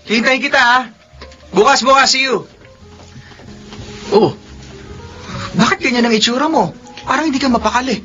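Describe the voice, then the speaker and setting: A dull lo-fi radio drama recording with faint music carries the bright, forceful voice of an earnest young lover, pleading and hesitant. He stammers when nervous, at a middle pitch. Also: normal speed, pauses often. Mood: flustered, friendly. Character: earnest young man in love with older woman.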